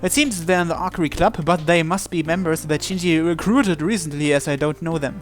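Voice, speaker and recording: A man with a somewhat high voice narrates a visual novel in the first person. He reads aloud as a let's player, with game music behind him. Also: fairly quick.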